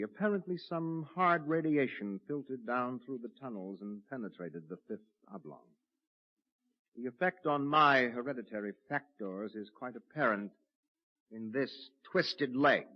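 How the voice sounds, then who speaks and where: An actor playing Orrin, a defiant young mutant with a twisted leg, speaking as an impassioned orator in a vintage radio drama. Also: male voice, medium pitch, natural voice. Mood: solemn. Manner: impassioned orator, tender when quiet. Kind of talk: 1950s radio drama acting. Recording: muffled vintage radio broadcast.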